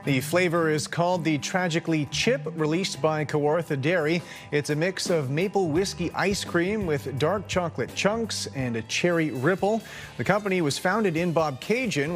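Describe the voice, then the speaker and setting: Canadian male anchor, crisp measured read, light music bed. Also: on the high side. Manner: crisp, measured newscaster delivery. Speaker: news anchor. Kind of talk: scripted news reading. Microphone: studio mic.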